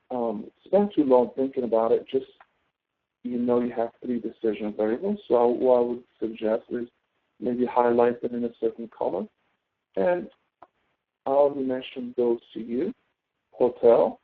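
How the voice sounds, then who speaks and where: A man teaching as a professor in an informal tutoring walkthrough, explaining step by step and often checking in with "okay?". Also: mid-range voice. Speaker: professor teaching an online class. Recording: thin phone-like audio.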